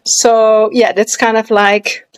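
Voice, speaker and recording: A woman's voice: a little high, level tone, slow pace, clean tone, low-quality recording. Dutch woman chatting in English. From Netherlands. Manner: chatty, searches for English words, many fillers. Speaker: guest, pole dancer and movement artist. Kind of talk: casual interview chat.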